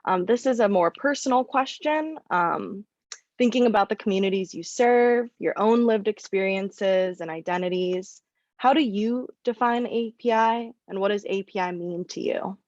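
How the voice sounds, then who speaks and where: A woman's voice: medium pitch, normal speed, darkish tone, no room sound. A woman moderates a panel in a friendly, conversational way. Her audio is dry and a little dull and lo-fi, with no room sound.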